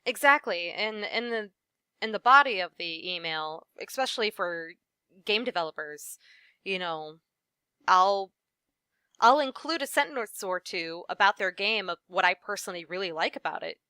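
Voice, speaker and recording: A young woman, a voice actress, answering interview questions in a lively conversational way with frequent "um" and "you know". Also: mid-range voice, moderate pace, clear, resonant tone, dry recording, studio quality. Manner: animated, many fillers like "um" and "you know". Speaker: voice actress guest.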